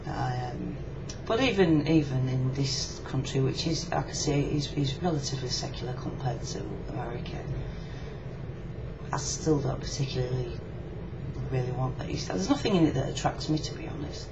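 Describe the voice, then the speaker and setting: A woman's voice: very deep voice, normal speed. A woman with a Yorkshire accent talking casually, frankly and reflectively in a personal video reply. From Yorkshire, England. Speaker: video blogger. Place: indoors in a room. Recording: muffled lo-fi webcam audio, clear background noise.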